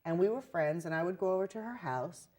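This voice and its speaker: An older woman from New York, a guest artist telling stories of her life in a relaxed, chatty interview. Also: fairly low pitch, brisk. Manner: chatty storyteller, animated quoting. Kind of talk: conversational interview.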